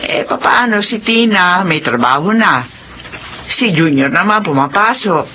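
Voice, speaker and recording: A woman's voice: on the low side, animated, measured pace, pushed and bright, slight background noise, full character voice. An actress playing a caring, supportive middle-aged mother in a Filipino radio drama, motherly and solicitous. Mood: chatty.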